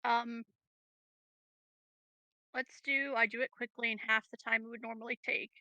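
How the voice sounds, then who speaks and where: A woman with a very bright, slightly high voice takes part in a tabletop game. She talks casually and agreeably, feeling her way through choices. The recording is close and dry. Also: little pitch movement, normal speed, dry recording, lo-fi sound. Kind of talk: casual game-session chat.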